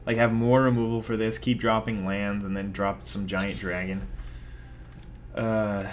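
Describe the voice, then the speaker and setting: A man's voice: fairly low pitch, flat delivery, measured pace, a little hiss or hum. A young man giving rambling, self-mocking commentary over his own card game, talking casually to viewers. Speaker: gaming video host.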